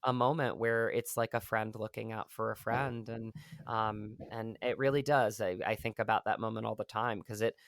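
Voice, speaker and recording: An earnest man rambles through his story with plenty of fillers and restarts. His voice is gravelly and his pitch stays flat and even. The sound is dry and close to the mic. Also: normal speed, no echo. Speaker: actor guest. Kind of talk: casual interview conversation.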